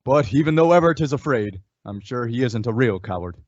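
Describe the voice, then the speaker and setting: An actor playing a calm, resolute western hero in an old-time radio style, measured and instructive. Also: male voice, mid-range voice, no echo, muffled recording, lightly acted.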